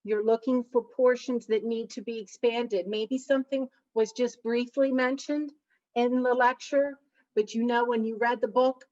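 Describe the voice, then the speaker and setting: A woman's voice: fairly high pitch, fairly even intonation, moderate pace, a little mellow, recorded from a distance, muffled recording. A middle-aged woman, a college instructor, giving a study-skills presentation with an emphatic, big voice that leans hard on key points. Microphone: computer mic for online session.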